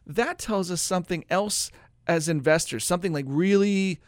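A man's voice: high-pitched, moderate pace, no echo, clean studio sound. A man hosting a talk radio show as a financial adviser, explaining things in an informal, folksy, conversational way. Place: radio studio.

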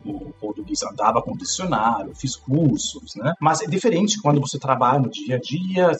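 A man's voice: mid-range voice, animated, normal speed, music in the background. A German man in his forties, speaking with a German accent, answers interview questions reflectively and tags his phrases with a questioning "né?". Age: 40s. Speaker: interview guest, tech instructor.

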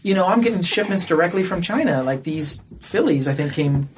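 A man's voice: high voice, expressive, a little fast. A man in casual podcast chat as a studio guest, griping bluntly and swearing casually.

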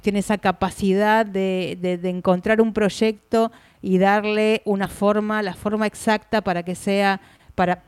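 An Argentine woman co-hosting a casual industry chat, giving news in a measured way with fillers. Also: mid-range voice, darkish tone, clear, resonant tone, no room sound. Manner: measured, informative, hesitant fillers. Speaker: co-host reporter.

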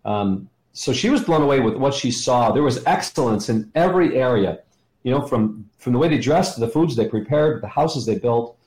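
A middle-aged or older man talking as an interview guest, enthusiastic and halting as he explains. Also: mid-range voice, expressive, a little mellow. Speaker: podcast guest, ministry leader. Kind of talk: conversational interview answers. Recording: roomy, echoey sound.

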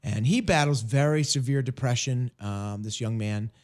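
Hoarse-voiced male radio host. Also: mid-range voice, darkish tone. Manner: earnest, halting with many restarts. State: hoarse from illness. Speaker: ministry program director.

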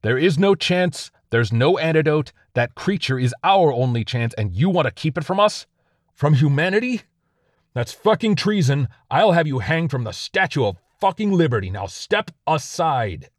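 A man voicing a furious military officer in a hazmat suit who barks commands and shouts. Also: a little high, very animated, normal speed, dry recording, clean studio sound, voice pushed up.

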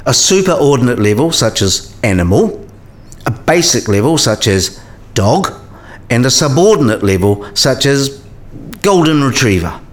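A man's voice: medium pitch, very animated, moderate pace, close to an everyday voice. A man playing a patient professor in a radio play, explaining things in a didactic, precise manner. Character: male professor patiently explaining category theory.

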